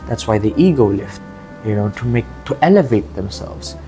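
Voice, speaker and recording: A man from Southeast Asia talking casually and earnestly in a reflective vlog, halting and repeating phrases as he goes. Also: fairly low pitch, lively intonation, music in the background. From Southeast Asia, grew up in Thailand and the Philippines. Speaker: amateur bodybuilder vlogger. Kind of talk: casual confessional vlog talk.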